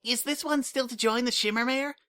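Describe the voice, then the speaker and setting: A bright, high voice for a little changeling girl in an audiobook drama, put on by a man. She is earnest and dutiful, talks in a stiff, formal way and refers to herself in the third person, with a sharp, bright tone. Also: steady tone, brisk. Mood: eager. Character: eager young female changeling child, formal.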